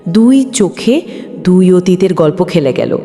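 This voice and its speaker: A woman's voice: fairly low pitch, expressive, measured pace, warm tone. A woman reading a scripted emotional story as a storyteller, in a dramatic, sentimental delivery. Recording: long hall reverb, music bed. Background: background music.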